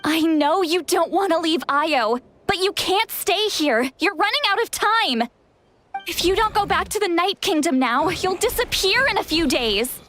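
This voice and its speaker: A woman's voice: very high-pitched, lively intonation, unhurried, a bit bright, slight background noise, heavily acted. A woman playing an earnest, caring young female spirit guide in a game, with a pleading manner. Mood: earnest, urgent. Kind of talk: English game dub acting.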